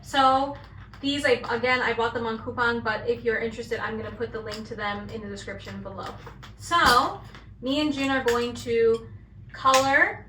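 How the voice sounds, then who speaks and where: A woman's voice: on the high side, fairly even intonation, moderate pace, pushed and bright. A young American woman chatting casually as a vlog co-host while coloring, talking in an upbeat way and using "like" often. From United States. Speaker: vlogger co-host. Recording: echoey room, distant mic, faint hiss.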